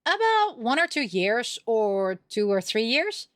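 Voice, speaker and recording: Woman voicing a bright, scatterbrained girl roommate, high-pitched. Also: big pitch swings, unhurried, no room sound, clean studio sound. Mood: thoughtful. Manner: emotive, scatterbrained. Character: bright, careless, optimistic young female roommate.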